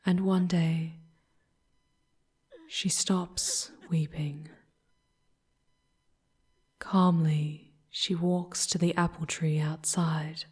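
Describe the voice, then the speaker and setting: A woman voicing a charming, tender, sorrowful female demon guide in a breathy, whispered ASMR roleplay, with halting, dramatic phrasing and long pauses. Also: low voice, flat delivery, a little mellow, exaggerated voice. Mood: hushed, mournful.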